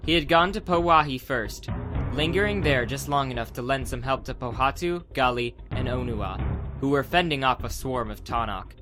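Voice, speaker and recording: A man's voice: medium pitch, normal speed, over music. A man narrating a dramatized adventure audiobook from a script as the storyteller.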